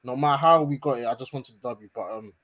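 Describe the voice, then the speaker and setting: A man's voice: mid-range voice, fast talker. Laid-back man hosting fan chat. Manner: relaxed slangy banter, many fillers. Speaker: host of a football fan stream. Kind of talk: casual live-stream chat.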